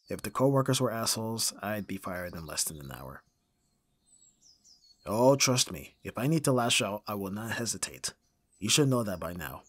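A man playing a gruff but caring young roommate in an audio roleplay, casual and dryly grumbling, with a slightly husky voice. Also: lowish voice, a bit bright, no room sound, heavily acted. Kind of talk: ASMR audio roleplay acting.

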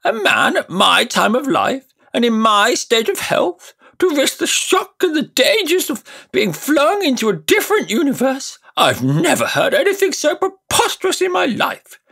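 A shrill, pompous old magician. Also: male voice, wildly swooping pitch, normal speed, creaky, few pauses, pushed and bright, exaggerated voice. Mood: haughty, blustering. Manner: high, blustering, haughty and indignant. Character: pompous, cruel elderly male magician uncle. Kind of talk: audiobook character voice.

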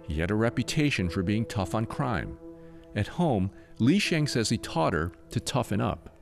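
A man's voice: lowish voice, very animated, moderate pace, vocal fry, soft background music. A man with an American accent reading scripted news narration as a reporter.

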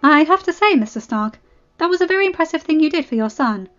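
A woman's voice: sits high, animated, quick pace, fairly bright tone, lo-fi sound, voice pushed up. A woman voicing a nervous, eager, polite female school receptionist in an audiobook story, stammering and apologetic. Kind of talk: fan fiction audiobook character voice. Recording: band-limited audio with background music.

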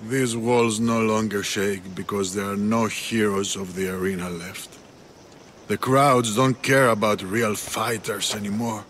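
An actor playing a weary, grand arena master in a video game, speaking in a hushed, brooding, theatrical way. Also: male voice, lowish voice, fairly even intonation, unhurried, a little hiss or hum. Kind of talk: video game cutscene acting.

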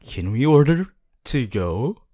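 A man playing a young, cheerful aspiring superhero student in an audio roleplay, earnest and goofy, with booming theatrical boasts and hesitant asides. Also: big pitch swings, takes it slowly, warm tone. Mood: delighted, flustered. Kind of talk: ASMR audio roleplay addressed to listener.

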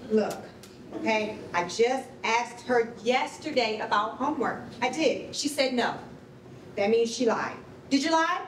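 High-voiced stressed mother, played by a woman. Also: measured pace, natural voice. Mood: exasperated. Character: stressed, exasperated, strict mother of a schoolgirl. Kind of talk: staged reading acting. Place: concrete rehearsal hall, live staged reading. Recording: roomy, distant mic, noisy rumble.

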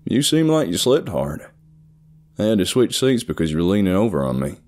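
Male narrator as friendly country coworker, unnaturally upbeat, higher-pitched, lively swings. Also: brisk. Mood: cheerful, friendly. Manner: chatty, unnaturally cheerful. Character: overly joyous, friendly country man, a coworker.

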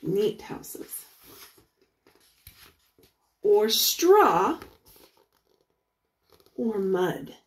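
A woman in her forties reading a picture book aloud as a teacher, pausing to put questions to young children in a deliberate, sing-song way. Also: medium pitch, very animated.

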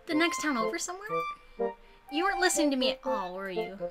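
A woman's voice: sits high, very animated, fast talker. A woman voicing a cute, teasing, clingy young anime girlfriend in a visual novel, coy and sing-song. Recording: music playing behind. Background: game music.

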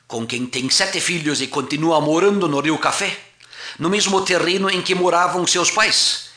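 A man, a pastor, narrating a documentary history in a measured, formal reading voice. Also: a little high, sharp tone, reverberant.

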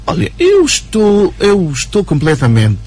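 A writer and journalist as a radio interview guest, talking in an animated way with many fillers and tag questions. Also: male voice, high voice, a little slow, a little hiss or hum. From Angola. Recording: radio broadcast recording.

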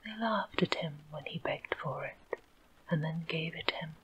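A woman narrates a fairy tale in a steady, unhurried whisper, her voice sitting on the low side. A faint hiss runs under the recording. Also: muffled recording. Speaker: storyteller.

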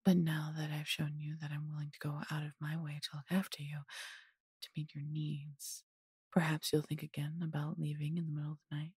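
A woman voicing a large, possessive but doting female dragon in an intimate fantasy audio roleplay, coaxing and teasing in a breathy, husky voice. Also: low-pitched, monotone, a little fast, darkish tone, no room sound, clean studio sound, full character voice. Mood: tender, coaxing.

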